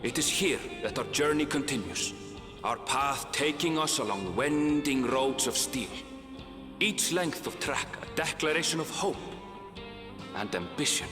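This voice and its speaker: Casting: male actor as reflective game traveller, measured and lyrical yet expressively pitched, reverberant over clear music. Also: lively intonation, natural voice. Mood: reflective, solemn. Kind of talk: scripted game narration and dialogue. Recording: long reverb, music behind.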